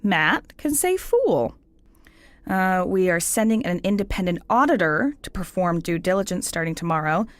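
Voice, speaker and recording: An actress playing a sensible, exasperated co-boss in an audio comedy, brisk and dryly corrective. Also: female voice, fairly low pitch, sing-song, no echo. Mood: exasperated, businesslike.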